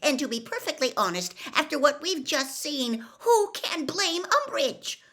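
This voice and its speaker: Woman reading as a frantic teenage girl, high and shaky, agitated, storybook. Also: big pitch swings, measured pace, sharp tone, full character voice. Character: anxious clever teenage girl.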